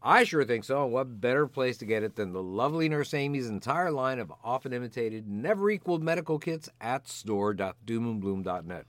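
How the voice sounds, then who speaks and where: A man's voice: mid-range voice, animated, fairly quick, hardly any pauses, dry recording. An older man co-hosting a medical podcast, bantering playfully and delivering lines with alliterative, announcer-like flair. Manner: jokey, alliterative, announcer-like flourishes. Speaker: podcast co-host, physician.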